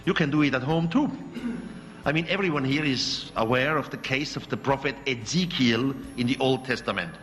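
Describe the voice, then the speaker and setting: A man's voice: medium pitch, moderate pace, a bit bright, a little hiss or hum. A man whose first language is Swiss German, giving a lecture in accented, searching English with occasional slips. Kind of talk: public lecture. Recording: hall recording with faint music.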